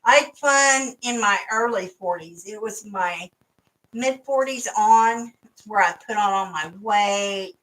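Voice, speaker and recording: A woman's voice: medium pitch, measured pace, fairly bright tone, far from the mic, poor audio. A woman in her fifties chatting casually with family as a mother, rambling and laughing often. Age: 50s. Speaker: mother and co-host.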